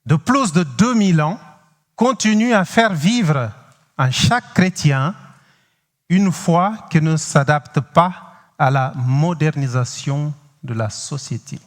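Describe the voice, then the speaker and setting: A man from Cape Verde with a Cape Verdean accent, French not his first language, preaching as a guest pastor, halting with many pauses and speaking straight to the congregation. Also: on the high side, expressive, long reverb tail. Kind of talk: sermon. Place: large church hall.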